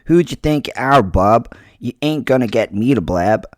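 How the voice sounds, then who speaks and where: A man's voice: medium pitch, lively intonation, moderate pace, no echo, clean studio sound, voice pushed up, close to an everyday voice. A man putting on a brash, crude male gangster with a New Jersey accent, blustering and threatening. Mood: defiant. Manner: loud, blustering tough-guy talk.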